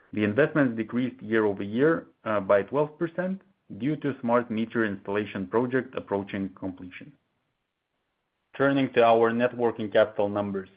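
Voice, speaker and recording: A man formally presenting company financial results from prepared remarks in a measured way, as an executive on an earnings call. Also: on the low side. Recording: narrow, tinny phone-line conference call audio.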